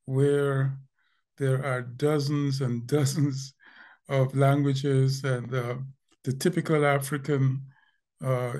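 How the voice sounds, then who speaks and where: An older man from Guyana with a Guyanese accent, speaking as a guest in a video call interview, thoughtful and pausing, often repeating words as he searches for them. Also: medium pitch, level tone, a little mellow. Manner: slow, thoughtful, with frequent pauses and repeated words. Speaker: linguist guest. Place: home room indoors. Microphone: video call webcam.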